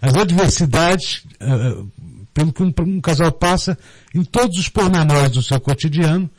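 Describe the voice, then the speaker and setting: A middle-aged man with a Brazilian Portuguese accent, explaining in a casual radio interview in a halting way, often repeating words. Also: fairly high pitch, no room sound, low-quality recording. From Brazil, born in Rio de Janeiro, raised in Recife. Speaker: guest, storyteller and theatre group director. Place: radio studio, dry close sound.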